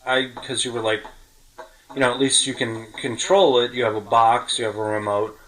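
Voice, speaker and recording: A middle-aged man with a bright, forward voice talks like a podcast host swapping memories with a friend. His delivery is chatty and full of small detail, casual and conversational throughout. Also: mid-range voice, little pitch movement, normal speed, low-quality recording.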